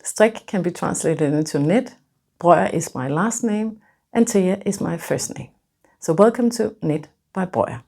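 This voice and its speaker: Danish woman in her fifties, hesitant knitting host, low gravelly mellow voice. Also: lively intonation, unhurried. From Denmark. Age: 50s. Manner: hesitant, many fillers and restarts. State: nervous. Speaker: knitting podcaster. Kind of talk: casual vlog presentation.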